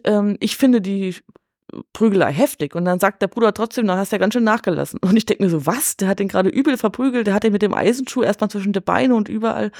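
A woman talks casually as a guest on a film podcast. Her voice sits at an average pitch, and she is colloquial and chatty, often tacking little questions onto her sentences. The sound is dry, with little room echo. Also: a little mellow. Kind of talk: casual chat about films.

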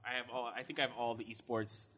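Bright-voiced man rambling through esports news. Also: mid-range voice, fairly even intonation, fast, a little hiss or hum. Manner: rambling, loose and laughing often. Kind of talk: casual podcast chat.

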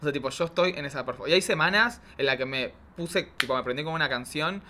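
An Argentine man chatting casually as a drag queen guest, with an excitable, slangy, filler-heavy manner. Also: fairly high pitch, fast, slight background noise. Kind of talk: casual chat.

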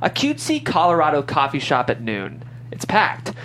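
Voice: mid-range voice, huge pitch swings, normal speed, a bit bright, a little hiss or hum. A reader delivering scripted stage directions as narrator at a table read.

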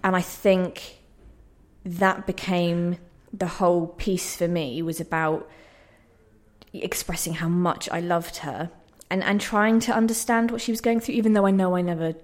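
A woman's voice: fairly low pitch, steady tone, measured pace. A young woman giving reflective interview answers in a hesitant, self-deprecating, thoughtful way. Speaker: playwright being interviewed.

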